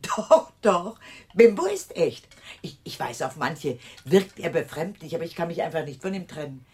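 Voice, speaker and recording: An actress playing a chatty, eccentric older aunt in a German radio play, gushing, theatrical and breezily insistent. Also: female voice, deep voice, very animated, normal speed, darkish tone, slightly rough, full character voice. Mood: matter-of-fact, nostalgic.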